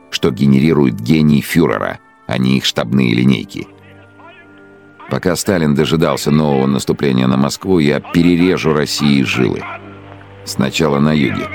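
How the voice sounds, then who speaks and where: Fanatical brooding dictator, extremely low voice, pompous and scornful, radio play monologue, loud music behind. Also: male voice, gravelly, full character voice. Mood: grandiose, menacing. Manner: scornful, pompous, emphatic short phrases. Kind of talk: radio drama interior monologue.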